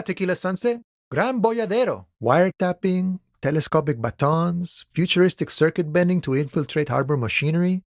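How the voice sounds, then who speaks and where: An actor playing an easygoing, wily striking dockworker in a video game, with folksy cowboy swagger and a theatrical, friendly drawl. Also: male voice, on the high side, a little mellow, dry recording, studio quality. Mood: playful, musing. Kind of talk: video game character dialogue.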